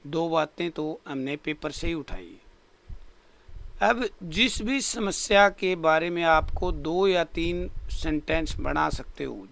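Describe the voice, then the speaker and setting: A man's voice: a little high, creaky, fairly bright tone. A man with a North Indian accent giving a lesson as an English teacher, reading phrases out and explaining them one by one in an instructive way. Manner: instructive, repeats and glosses phrase by phrase.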